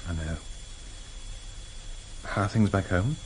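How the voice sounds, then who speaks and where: An actor playing a guilt-ridden, hunted husband in a vintage radio drama, halting and defensive. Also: male voice, deep voice. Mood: guarded. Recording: noisy vintage radio recording.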